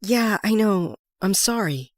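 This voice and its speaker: Lively, headstrong teenage girl played by a woman. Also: medium pitch, slow, dry recording, clean studio sound. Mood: pleading. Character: spirited, casual, headstrong teenage schoolgirl.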